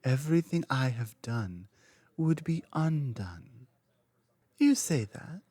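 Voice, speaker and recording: A woman voicing an ancient, dominant female dragon queen in an ASMR roleplay audio, speaking in a slow, cryptic, commanding way that slips into soft whispers. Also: extremely low pitch, sing-song, dry recording, heavily acted.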